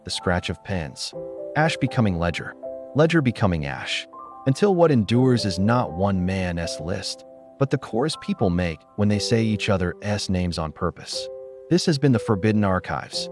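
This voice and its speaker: Low-voiced man narrating a solemn story. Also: sing-song, music in the background. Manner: literary, measured, solemn.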